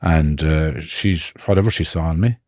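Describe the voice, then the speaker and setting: Irish man in his fifties, very deep mellow voice, reflective guest, dry close sound. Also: dry recording. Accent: Irish, Connemara. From Ireland. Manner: reflective, halting, with frequent restarts. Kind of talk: personal life story in conversation.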